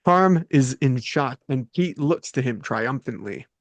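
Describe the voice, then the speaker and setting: A man's voice: medium pitch, expressive, unhurried, no echo, lo-fi sound. Male narrator for a script read, medium register, delivering stage directions in a dry space.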